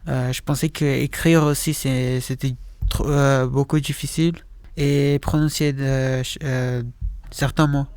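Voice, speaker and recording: A man's voice: medium pitch, flat delivery, a little slow, a little mellow, clear, resonant tone, no echo. A young man in his late teens, a native Portuguese speaker, gives a personal testimony as a student, hesitating and searching for words.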